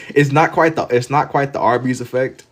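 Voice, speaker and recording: A man's voice: medium pitch, fairly even intonation, moderate pace. A man with an American accent hosting a livestream, chatting casually and slangily with viewers, amused and often repeating phrases for emphasis. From Louisville, Kentucky, United States. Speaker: livestream host. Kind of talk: casual chat with live viewers while drawing.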